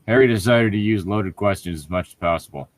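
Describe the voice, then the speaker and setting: A man's voice: fairly low pitch, brisk. A man reading fan fiction aloud and breaking off into sarcastic, sweary commentary with an exasperated, mocking manner.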